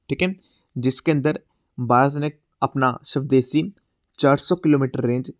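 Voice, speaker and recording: A man's voice: medium pitch, a little mellow, no echo, clean studio sound. Man teaching exam prep with sore throat. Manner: repeats "theek hai" as a filler, mixes Hindi and English; reads English questions slowly. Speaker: current affairs teacher. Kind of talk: exam-prep class, explaining quiz questions.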